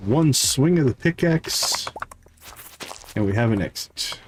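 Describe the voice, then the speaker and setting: A man's voice: medium pitch, animated, slow pace, background noise. A man hosting a livestream with casual, playful gameplay commentary, half talking to himself. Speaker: livestream host.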